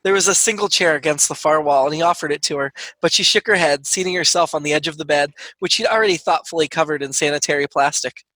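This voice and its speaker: A man with a fairly high, very bright voice reads a comic piece of fiction from a script. The sound is dry and close, with little room around the words. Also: quick pace, few pauses, dry recording, low-quality recording. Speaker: comedy troupe writer reading own story. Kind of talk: reading a scripted comic erotic story aloud.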